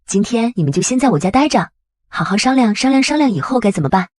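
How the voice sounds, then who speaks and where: A woman's voice: fairly high pitch, expressive, quick pace, a little mellow, no echo. A woman playing a shrewd, bitter and resolute businesswoman in an audio comic drama. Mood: cool.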